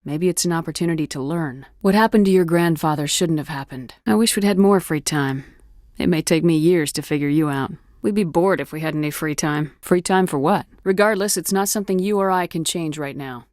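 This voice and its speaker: A tough female military commander from a video game, acted by a woman. Her voice sits on the low side and is recorded close and dry. She speaks with firm authority and a guarded, wry edge. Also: a little fast, darkish tone, no room sound, lightly acted. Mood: firm, reflective. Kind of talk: video game dialogue acting.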